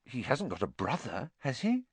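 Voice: medium pitch, wildly swooping pitch, normal speed, creaky, breathy edge, dry recording, voice pushed up, full character voice. A performer voicing an earnest, anxious young schoolboy in a storybook, urgent and a little hesitant. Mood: puzzled, urgent. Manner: urgent, hesitant, stammering when upset.

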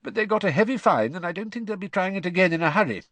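British middle-aged wizard father acted by a male narrator, high-pitched, animated, chatty, kindly, storybook style, dry sound. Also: very fast, no room sound. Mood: chatty, informative. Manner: eager, chatty, matter-of-fact explaining.

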